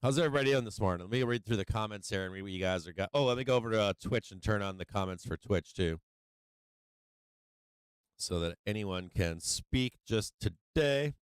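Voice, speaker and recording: Male stream host, low and resonant but slightly worn voice, casual delivery, dry close sound, faint guitar behind. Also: brisk, clear, resonant tone, dry recording. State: voice starting to go. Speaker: livestream show host. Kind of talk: casual livestream chat to viewers.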